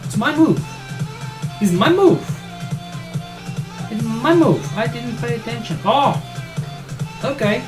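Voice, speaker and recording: A man's voice: very high voice, lively intonation, takes it slowly, long pauses, music in the background. A middle-aged man with a South African accent, casually commentating his own game on a live stream, thinking aloud in an informal, matey way. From South Africa and Australia. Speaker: chess streamer and player.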